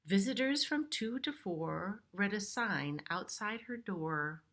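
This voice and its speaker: Slow, bright-voiced woman reading a picture book. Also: medium pitch, animated. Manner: simple explanations for young children. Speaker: preschool storyteller reading aloud. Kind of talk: picture-book read-aloud with explanatory asides.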